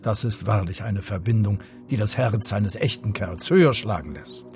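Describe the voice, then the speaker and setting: Commander, male, played by an actor: lowish voice, grandiose and macho, radio drama over prominent music. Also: normal speed, natural voice. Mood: grandiose, reflective. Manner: grandiose, declamatory. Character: pompous male spaceship commander, macho, brooding. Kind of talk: German radio play acting.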